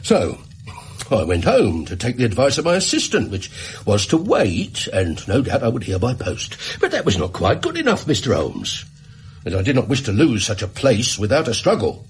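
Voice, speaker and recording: A man's voice: medium pitch, huge pitch swings, moderate pace. An actor playing an earnest, plain-spoken Victorian English shopkeeper in a British radio drama, indignant as he labours through his story. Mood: aggrieved.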